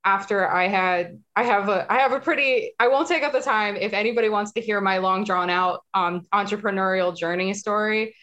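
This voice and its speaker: A woman guest in a casual interview, talking in a calm, even way that she calls monotone. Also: mid-range voice, fairly quick, few pauses, muffled recording. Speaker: guest, marketing specialist.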